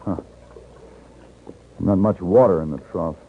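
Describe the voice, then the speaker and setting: Cool dry gunslinger, american accent, low voice, old 50s radio western, thin tinny sound. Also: male voice, slight background noise. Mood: dry, unruffled. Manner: measured, dry, unruffled. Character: calm, dry-witted traveling gunfighter on horseback. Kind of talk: 1950s Western radio acting with first-person narration. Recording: tinny 1950s radio recording with music.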